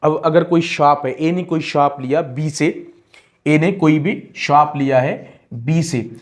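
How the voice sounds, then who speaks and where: A male lecturer with a slightly high-pitched voice speaks at a slow, deliberate pace. He explains step by step in a teaching style, repeating phrases and switching between two languages as he goes. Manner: code-switches between Hindi and English. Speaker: law lecturer. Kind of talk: explanatory lecture with examples, reading statutes aloud.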